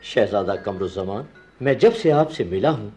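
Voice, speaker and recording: An actor playing a courteous aging sultan in a radio play, with formal, courtly, halting delivery. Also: male voice, lowish voice, expressive, over loud music, close to an everyday voice. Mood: earnest, hopeful. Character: courteous aging sultan seeking a son-in-law.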